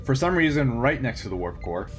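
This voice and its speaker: A man's voice: mid-range voice, very animated, a little fast, over loud music. A man running a tabletop role-playing game in casual chat as game master.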